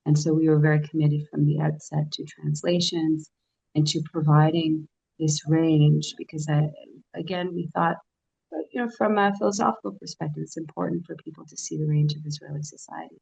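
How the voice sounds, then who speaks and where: A woman with a very deep, gravelly voice speaks on a panel, measured and reflective and hesitant, with many fillers. The recording sounds dull and lo-fi. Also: steady tone, a little mellow. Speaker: editor and panelist. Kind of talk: panel discussion.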